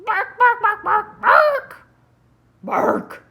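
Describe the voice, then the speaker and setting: A woman voicing an excitable, jumpy little puppy in short repeated yips and barks, for a storybook. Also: extremely high pitch, drawn-out words, gravelly, pitched above the performer's own voice, exaggerated voice. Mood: frantic.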